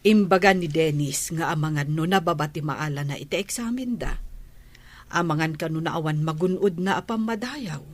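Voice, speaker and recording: A woman's voice: sits low, moderate pace. A woman playing a loyal maid and nanny in a Filipino radio drama, deferential yet firm and earnest, with an Ilocano accent. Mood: earnest explaining.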